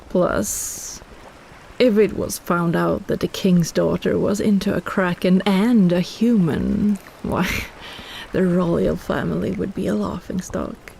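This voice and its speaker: A woman's voice: medium pitch, measured pace, vocal fry, warm tone, slight background noise, poor audio. A woman playing a possessive, teasing kraken in an intimate roleplay audio drama, coaxing and condescending as she schemes. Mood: scheming, persuasive.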